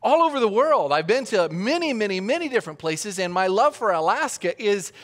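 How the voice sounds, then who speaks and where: A man's voice: high-pitched, big pitch swings, a little fast, fairly bright tone. A man preaching a conversational sermon as a pastor, chatty and joking, asking the audience questions. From Austria. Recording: long reverb of a large hall.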